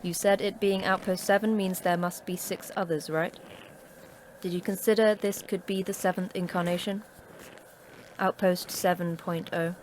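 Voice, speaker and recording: An actress playing a terse, sardonic manager of a secret polar facility in an audio drama, with a dry, curt delivery. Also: female voice, fairly low pitch, steady tone, moderate pace, darkish tone, a little hiss or hum, close to an everyday voice. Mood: brusque, dry. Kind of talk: audio fiction acting.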